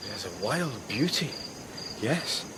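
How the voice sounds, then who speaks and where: An actor playing a wounded foreign army officer in a radio drama, measured and courteous, with violence underneath. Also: male voice, mid-range voice, very animated, sharp tone, slight background noise, full character voice, poor audio. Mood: polite, uneasy. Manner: measured, polite, guarded.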